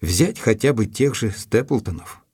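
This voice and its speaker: An actor playing a composed gentleman narrating his own adventure in a measured way for an audio drama. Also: fairly low pitch, animated, husky, no echo, studio quality, heavily acted. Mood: thoughtful. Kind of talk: scripted first-person audio drama narration.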